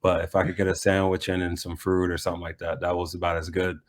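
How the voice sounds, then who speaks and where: Low, even-toned man in casual interview. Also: fast talker, a little hiss or hum. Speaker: soccer coach and former pro player being interviewed. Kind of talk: casual reflective interview answers.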